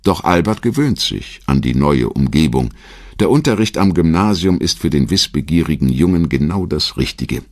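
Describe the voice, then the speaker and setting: A man narrating a scripted documentary in a measured, storytelling manner. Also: rumbling low, very animated, darkish tone, no room sound, clean studio sound.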